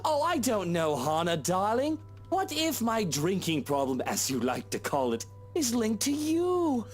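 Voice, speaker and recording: A man doing a sneering drunk husband, lively. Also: high voice, measured pace, faint music behind, pitched above the performer's own voice, exaggerated voice. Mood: accusing. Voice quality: sneering, patronizing drawl. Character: drunk, jealous, condescending husband. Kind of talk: voicing a visual novel character.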